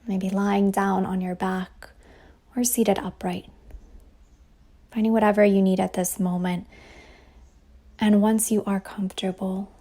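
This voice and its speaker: A woman leading a guided meditation as a teacher, speaking softly and breathily in a soothing way with long pauses, over ocean waves. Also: mid-range voice, little pitch movement. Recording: faint ambient wave noise behind voice. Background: ocean waves sound effect.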